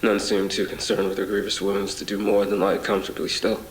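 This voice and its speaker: An actor playing a haunted man recounting a surreal ordeal in a brooding, literary horror audio drama monologue, full of pauses. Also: sits low, completely flat delivery, a little hiss or hum, close to an everyday voice. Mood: brooding, uneasy. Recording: reverberant voice over music.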